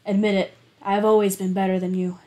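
A woman's voice: medium pitch, level tone, quick pace, warm tone, pitched below the performer's own voice, close to an everyday voice. A woman voicing an arrogant male rival agent in a storybook reading, in a taunting way.

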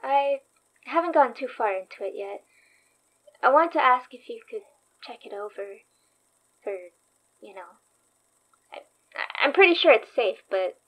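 Female young store worker, slightly high voice, earnest and anxious, halting delivery, naturalistic horror audio drama. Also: lively intonation, highs cut off. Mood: hesitant. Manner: hesitant, halting.

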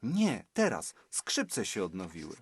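An excitable storybook boy, acted out by a man, insistent and urgent. The pitch jumps around in a lively way, and the recording is close and dry. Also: a little slow, breathy edge, dry recording, heavily acted. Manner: urgent, muttering, hissing.

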